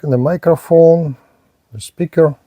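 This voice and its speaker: Slow, careful man demoing a radio. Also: on the high side, dark tone. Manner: halting, careful, with pauses and fillers. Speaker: radio reviewer. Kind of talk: slow hands-on product demo narration.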